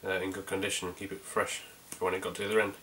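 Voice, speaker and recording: This is a man with a North Yorkshire accent talking his way through a homemade beer review. His voice sits a touch low but comes across bright and forceful. He rambles in a friendly way, full of fillers and restarts. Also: monotone, very fast. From North Yorkshire, England. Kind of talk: casual video beer review.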